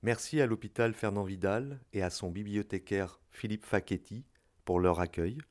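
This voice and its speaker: Low-voiced man reading scripted credits. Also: moderate pace, darkish tone. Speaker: credits announcer.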